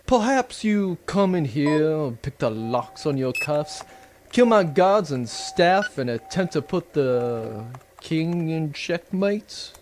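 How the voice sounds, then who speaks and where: A smug, menacing baron played by a man in an audio adventure drama. He is mockingly polite and condescending, with an animated, fairly high voice over music. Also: takes it slowly, a little hiss or hum.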